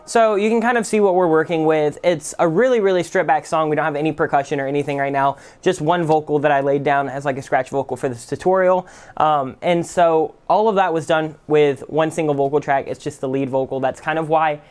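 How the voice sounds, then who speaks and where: A man giving a casual, conversational software tutorial as the host. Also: a little high, fairly even intonation, fairly quick, clean tone. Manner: informal, conversational. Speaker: music production tutorial host. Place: indoors at a computer.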